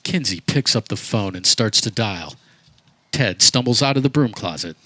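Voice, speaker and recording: A man's voice: lowish voice, expressive, normal speed, bright, forceful tone, no echo. A man reading scripted stage directions as the narrator of a comedy, in a matter-of-fact way. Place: backyard, live performance.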